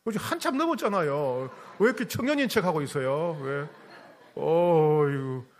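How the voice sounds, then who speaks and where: A man's voice: fairly high pitch, expressive, normal speed. A male pastor preaching a sermon, asking the congregation questions, teasing them and repeating key phrases. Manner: repeats key phrases, swings from loud to soft.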